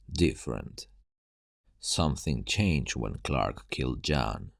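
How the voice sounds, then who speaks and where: A man acts out a haunted, worn-out male survivor in a zombie apocalypse audio roleplay. His voice sits extremely low and crackles heavily with fry. He speaks slowly, in a hushed and grave ASMR storytelling style, with halting pauses between phrases. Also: dry recording, studio quality, full character voice. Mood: heavy, regretful.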